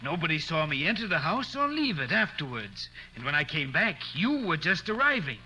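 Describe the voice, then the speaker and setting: An actor playing a cold, calculating killer holding a hostage in a 1940s radio crime drama, threatening in a smooth-talking way. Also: male voice, on the high side, sing-song, moderate pace, sharp tone, full character voice, low-quality recording. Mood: smug, calculating. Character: menacing, calculating male murderer taking a hostage at knifepoint. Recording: old radio recording, faint noise.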